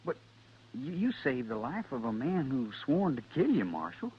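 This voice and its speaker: An American actor playing an earnest, hesitant young cowhand who speaks haltingly in an old radio western. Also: male voice, mid-range voice, huge pitch swings, slight background noise. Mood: sheepish, puzzled. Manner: halting, stammering. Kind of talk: 1950s radio western acting. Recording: dull old radio recording.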